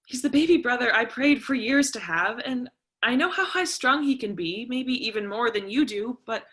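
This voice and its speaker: A woman acts out an eighteen-year-old American daughter in a stage drama. Her voice is fairly high and sharp, with a forward edge. She is wounded, defiant and sarcastic, speaking with raw feeling. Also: lively intonation, normal speed. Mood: hurt, earnest. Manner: emotional, argumentative. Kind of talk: staged play reading.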